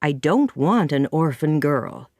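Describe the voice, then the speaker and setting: A stern, no-nonsense farm woman with a measured, unhurried delivery and a fairly low voice, as a woman narrator puts her on. The reading is close and dry. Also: animated, a little mellow, no echo. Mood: firm. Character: stern practical middle-aged farm woman.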